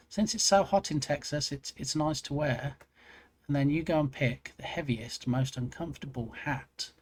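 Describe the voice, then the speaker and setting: A man's voice: on the high side, darkish tone. A man streaming live, chatting casually and joking at his own expense. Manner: chatty, self-mocking, rambling. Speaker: game dev streamer.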